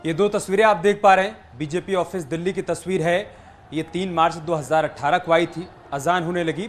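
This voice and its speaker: A man presenting television news as the studio anchor, formal and explanatory. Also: fairly high pitch.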